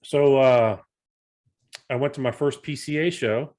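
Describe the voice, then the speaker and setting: A man co-hosting a podcast, chatting in a relaxed way and adding frequent brief agreement. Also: medium pitch, unhurried. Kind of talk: casual podcast chat.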